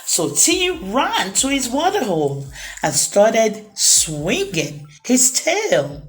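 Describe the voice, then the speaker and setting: Slow, animated woman storyteller. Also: a little high, soft background music, low-quality recording. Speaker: children's storytime reader and host. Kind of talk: expressive children's read-aloud narration.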